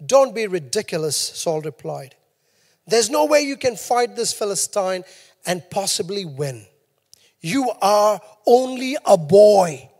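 A man preaching from the pulpit in a voice pitched unusually high, driving his points home by saying them twice. His words ring out through a large church hall with a long reverb trailing behind them. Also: big pitch swings, slow pace, a little mellow. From New Zealand. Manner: emphatic, repeats key lines. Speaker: pastor. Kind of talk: sermon.

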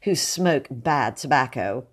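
A woman's voice: a bass voice, lively intonation, takes it slowly, husky, full character voice. A woman voicing a curt, irritable young woman who snaps her words. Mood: irritated.

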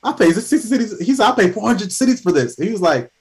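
A man from Ghana chatting casually, telling stories with his voice climbing high as he gets into them. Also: fast talker. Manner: animated storyteller, voice rising high in anecdotes. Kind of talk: casual conversation.

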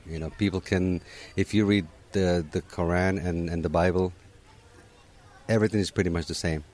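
A man from Morocco, answering questions at an outdoor holiday gathering in a relaxed, conversational way, often saying "you know" and restarting his sentences. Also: sits low.

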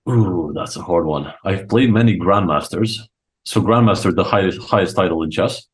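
Young adult male podcast guest from Croatia, lowish voice, informal and enthusiastic storyteller. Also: normal speed. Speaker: podcast guest, chess coach. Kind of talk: casual interview chat, storytelling.

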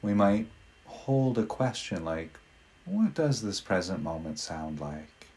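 A man leading a guided meditation in a gentle, unhurried manner with long pauses between phrases. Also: low-pitched, sing-song.

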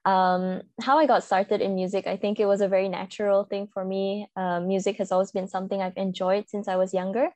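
Mellow, dry-sounding Malaysian woman. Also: medium pitch, level tone, fairly quick, hardly any pauses, no echo. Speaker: singer-songwriter guest. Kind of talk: conversational interview answers.

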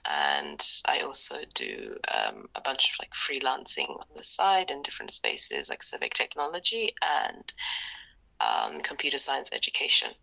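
A young woman from Zimbabwe, talking reflectively as a podcast guest, with many fillers and often trailing into breathy near-whispers. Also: rumbling low, wildly swooping pitch, measured pace, pushed and bright, low-quality recording. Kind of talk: casual interview conversation.

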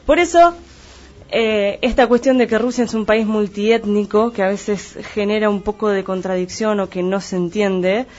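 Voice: mid-range voice, normal speed, darkish tone, lo-fi sound. A speaker from Argentina with an Argentine Spanish accent, explaining things step by step in a conversational analysis. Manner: didactic, clarifying terms step by step.

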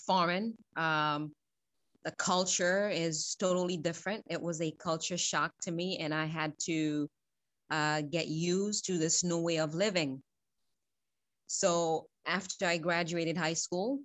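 A woman's voice: deep voice, a bit bright, no room sound. A middle-aged woman from Haiti, an interview guest telling her own story in an earnest, reflective way. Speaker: guest, school founder.

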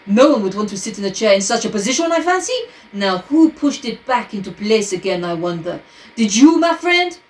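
A woman voicing a fussy, triumphant little male detective with a put-on French accent, deliberate and probing. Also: mid-range voice, big pitch swings, lightly acted.

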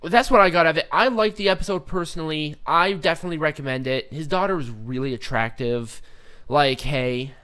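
A man's voice: a little high, a little fast, fairly bright tone, clean tone. A man co-hosting a podcast, talking in a casual, opinionated way with informal, emphatic phrasing and repetition. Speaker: podcast co-host.

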